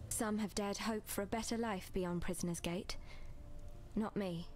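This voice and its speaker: A woman voicing a weary, selfless healer in a video game, gentle and resigned, with faint music behind. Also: medium pitch, fairly even intonation, measured pace, natural voice. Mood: resigned, caring. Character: weary, selfless female healer among exiles.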